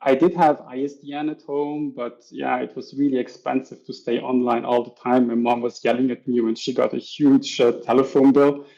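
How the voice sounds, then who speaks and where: A man's voice: mid-range voice, little pitch movement, normal speed, gravelly, hardly any pauses, mellow, lo-fi sound. A man from Switzerland with a Swiss German accent, chatting as a podcast guest and acting out little scenes from his stories. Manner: chatty, acts out voices in stories. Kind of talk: casual podcast interview.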